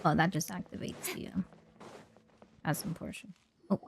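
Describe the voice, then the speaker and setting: A woman's voice: on the low side, steady tone, measured pace, gravelly. A woman streaming a game, casually commenting and reading dialogue aloud as she plays. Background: faint game music.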